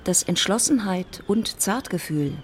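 A woman narrating a literary radio drama in German in a measured, precise way. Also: mid-range voice, lively intonation, a little hiss or hum.

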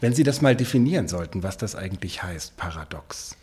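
A male radio host reading a scripted introduction, then interviewing a guest in measured tones. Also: low voice, lively intonation, a little mellow, husky. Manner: measured, sentence prompts.

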